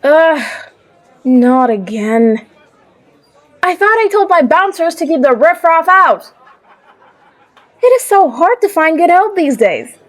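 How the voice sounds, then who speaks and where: A woman's voice: very high-pitched, very animated, takes it slowly, long pauses, pitched above the performer's own voice, heavily acted. A woman voicing a haughty, theatrical female saloon owner in an audio roleplay, drawling with mock exasperation.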